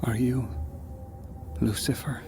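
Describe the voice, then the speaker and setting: A man playing a wary, simple village man in an audio drama, guarded and halting as he speaks. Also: mid-range voice, level tone, darkish tone, soft background music. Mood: fearful questioning.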